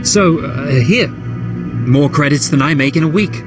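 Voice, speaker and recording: A man's voice: on the high side, lively intonation, unhurried, music in the background. A man voicing a disdainful imperial officer in a video game, deferential as he briefs his lord. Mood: approving. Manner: contemptuous, briefing a superior.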